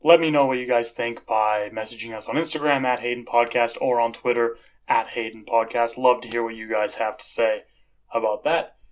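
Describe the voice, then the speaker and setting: A man's voice: medium pitch, steady tone, moderate pace. Young man hosting a podcast. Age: young adult. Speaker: podcast host. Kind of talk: casual podcast sign-off.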